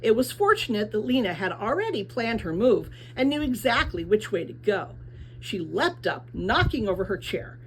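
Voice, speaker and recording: A woman reading a children's novel aloud as expressive scripted narration. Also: mid-range voice, moderate pace, slight background noise, poor audio. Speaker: audiobook reader.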